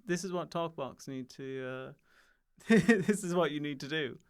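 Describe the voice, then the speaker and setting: Rambling young Irish man, smooth voice. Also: on the high side, a little slow. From Ireland. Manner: rambling, self-interrupting, frequent restarts and laughs. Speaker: comedian guest. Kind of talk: casual podcast chat.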